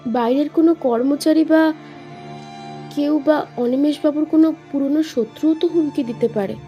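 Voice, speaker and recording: A woman playing an attentive, soft-spoken woman of the household in an audio detective drama. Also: high voice, normal speed, lo-fi sound, faint music behind, lightly acted. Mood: curious.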